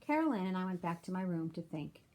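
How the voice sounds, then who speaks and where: A woman's voice: on the low side, lively intonation, quick pace, darkish tone. A woman reading a children's chapter book aloud like a teacher, with simple explanatory asides for young listeners.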